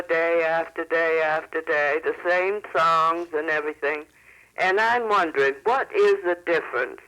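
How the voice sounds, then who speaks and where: A man's voice: on the high side, a bit bright, smooth. An older man calling a radio show by telephone, chatting in a plain-spoken, folksy and affectionate way. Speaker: phone-in caller. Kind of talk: casual radio phone-in chat. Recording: telephone call on 1970s radio.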